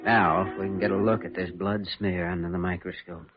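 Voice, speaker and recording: An actor playing an earnest, resolute young surgeon in an old radio drama, speaking in a measured, grave way. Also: male voice, sits low, fairly even intonation, over loud music, natural voice. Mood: focused, clinical. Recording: muffled old radio recording.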